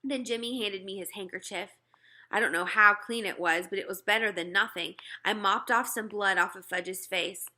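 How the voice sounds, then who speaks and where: A woman narrating a children's novel as an animated read-aloud. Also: medium pitch, moderate pace. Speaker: storybook reader.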